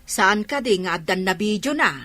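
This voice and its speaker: A woman's voice: medium pitch, expressive, moderate pace, sharp tone, dry recording. A woman playing an obedient, deferential household maid in a radio drama. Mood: dutiful. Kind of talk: Ilocano radio drama acting.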